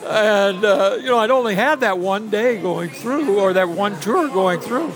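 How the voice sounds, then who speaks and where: A man in his seventies from New England, casually recalling his time as a ride guide, halting with many fillers. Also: sits high, a crowd in the background, poor audio. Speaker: interviewee, former theme park ride guide. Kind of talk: casual reminiscing interview.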